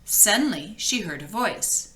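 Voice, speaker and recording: Bright, animated woman reading a storybook. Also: mid-range voice, measured pace. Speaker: storybook reader. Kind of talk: expressive read-aloud storytelling.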